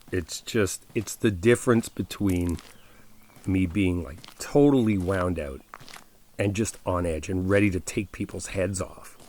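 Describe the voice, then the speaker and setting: A man's voice: low voice, darkish tone. A man playing a caring, insistent best friend in an ASMR roleplay, talking straight to the listener in a casual, coaxing, reassuring way. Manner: conversational, coaxing, addressing the listener directly. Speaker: ASMR roleplay audio performer.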